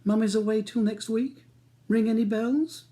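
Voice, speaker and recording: A man's voice: high voice, steady tone, normal speed, dark tone, pitched above the performer's own voice, lightly acted. A man reading an audiobook, voicing a cold, scornful upper-class wife who speaks in a beautifully cutting way. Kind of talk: audiobook character dialogue.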